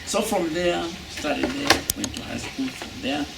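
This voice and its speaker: A man with a Kenyan accent, a musician recounting his life story in an unhurried, reminiscing interview. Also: fairly high pitch, expressive, creaky. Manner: unhurried, reminiscing, repeats himself. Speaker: musician and instrument technician. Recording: roomy, distant, loud background noise.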